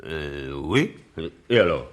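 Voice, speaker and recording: Huffy, animated, sceptical police official. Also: male voice, a bass voice, slow, exaggerated voice. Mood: grumbling, baffled. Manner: sarcastic, huffy, incredulous. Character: sceptical, grumbling police official, impatient and easily flustered. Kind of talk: French radio crime drama acting.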